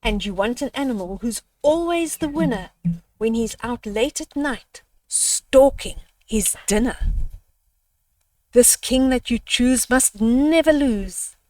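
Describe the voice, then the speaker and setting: A woman voicing a wise old owl in a children's storybook, lecturing the animals in a measured, authoritative way. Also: fairly high pitch, lively intonation, mellow, dry recording, distant mic, low-quality recording. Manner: measured, instructive, rhetorical. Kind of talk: children's storybook read-aloud.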